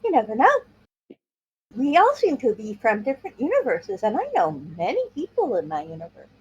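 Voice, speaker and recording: A woman with a high, animated voice improvises at a tabletop game. She moves between narrating her character and speaking as them. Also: fairly quick, dull audio, slight background noise. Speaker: player in a tabletop roleplay game. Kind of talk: improvised roleplay narration and dialogue.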